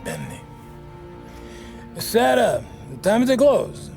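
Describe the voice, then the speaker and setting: An actor playing a middle-aged, gruff but kindly Italian father in a game, speaking halting English with an Italian accent. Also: sits high, huge pitch swings, a little mellow, faint music behind, heavily acted. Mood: brisk, protective. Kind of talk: dramatic video game acting.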